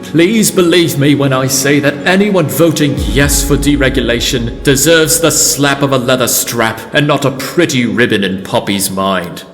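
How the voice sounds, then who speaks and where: A man acts as an earnest, solemn son at the podium in a radio drama. His voice sits a little high and rings through a long hall reverb. Faint music plays underneath. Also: lightly acted. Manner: solemn, oratorical. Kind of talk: noir radio drama speech.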